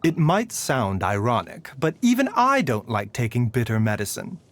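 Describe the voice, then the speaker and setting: Genteel, lilting scholarly physician. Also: male voice, mid-range voice, huge pitch swings. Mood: courteous, reflective. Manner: polite, measured, gently wry. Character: genteel, soft-spoken, scholarly male physician with frail health. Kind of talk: scripted game character voice lines.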